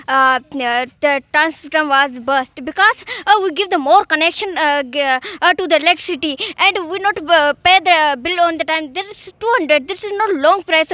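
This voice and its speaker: A woman's voice: sits high, bright, forceful tone. A woman from Pakistan, debating in a classroom and talking hesitantly with many fillers and restarts. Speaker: debate participant.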